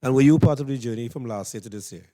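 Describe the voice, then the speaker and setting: A man's voice: medium pitch, fast talker, mellow. A man with a Trinidadian accent hosting a casual interview as the one asking questions. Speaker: interviewer and host.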